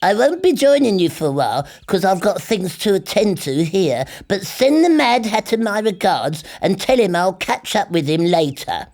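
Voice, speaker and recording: Guy doing a polite brisk rabbit for a kids fairy tale, high pitched and really animated. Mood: courteous, brisk.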